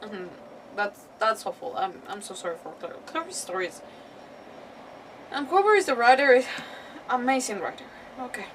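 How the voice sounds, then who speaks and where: A woman game streamer with a fairly high voice, a little away from the mic. She reacts casually and emotionally, often halting to search for words, over faint background noise. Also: big pitch swings, recorded from a distance, low-quality recording. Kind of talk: casual live gameplay reaction commentary.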